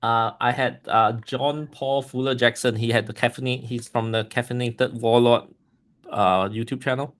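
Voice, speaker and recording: A man's voice: mid-range voice, fairly even intonation, normal speed, fairly bright tone. A man from Singapore with a Singaporean accent, a podcast guest talking in a friendly way, repeating words as he thinks. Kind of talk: casual podcast interview.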